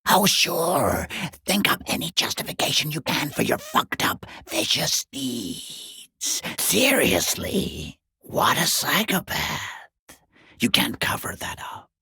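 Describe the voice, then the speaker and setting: A man voicing a foul-mouthed, sarcastic watcher in a video game, taunting in a rough, breathy, creaky half-whisper. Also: a little high, very animated, sharp tone, no room sound, clean studio sound, exaggerated voice. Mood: scornful accusation. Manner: sarcastic, taunting, drawn-out mockery. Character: sneering, foul-mouthed watcher peeking through a harbour gate gap. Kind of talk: video game character voice acting.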